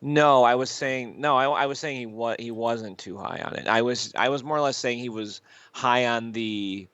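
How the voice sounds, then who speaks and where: A man co-hosting a casual podcast, giving opinions in a rambling, halting way with repeated phrases and loose swearing. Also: mid-range voice, a bit bright, clean tone, dry recording, dull audio. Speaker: podcast co-host. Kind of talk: casual chat, reviewing YouTube videos.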